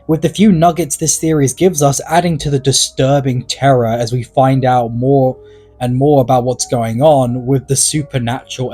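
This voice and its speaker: A man's voice: mid-range voice, warm tone. A man narrating a scripted video essay in an earnest way, leaning on words for dramatic emphasis. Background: background music.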